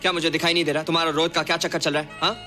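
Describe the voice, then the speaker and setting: An actor playing a brash, jealous young suitor in a film drama, mixing in English. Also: male voice, on the high side, level tone, very fast, sharp tone, faint music behind. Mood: jealous, confrontational. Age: young adult.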